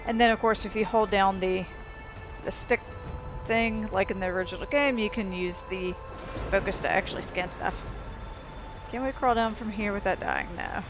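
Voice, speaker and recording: A woman's voice: medium pitch, sing-song, normal speed, poor audio. A woman giving casual, informal and rambling gameplay commentary as she explains how a game works. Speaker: game streamer. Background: game music and sound effects.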